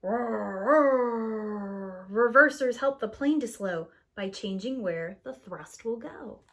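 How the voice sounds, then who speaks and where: A woman's voice: a little high, slow. A woman reading a children's story aloud expressively, with a rhythmic delivery and acted-out sound words. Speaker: preschool teacher reading a picture book aloud.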